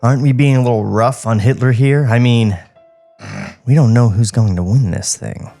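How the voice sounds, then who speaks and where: A man's voice: mid-range voice, moderate pace, mellow, soft background music, close to an everyday voice. A man playing a cautious, furtive studio boss in a comic script read.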